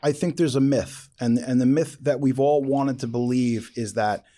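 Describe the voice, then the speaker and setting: A man's voice: medium pitch, fairly even intonation, normal speed, mellow. A man hosting a casual interview, talking in a colloquial, emphatic way and swearing freely. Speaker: podcast host interviewing a guest. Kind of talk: casual chat.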